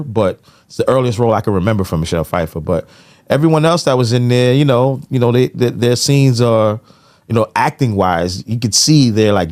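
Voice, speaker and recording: A man's voice: fairly low pitch, a little mellow. An American man hosting a podcast, talking casually with lots of slang and conversational tags. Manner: slangy, full of conversational tags like "you know". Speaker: podcast host.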